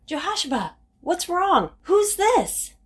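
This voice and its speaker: A woman's voice: very high-pitched, sing-song, takes it slowly, heavily acted. A woman playing a priest's fearful, practical wife, agitated and protesting, in an audio Bible drama. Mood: puzzled alarm.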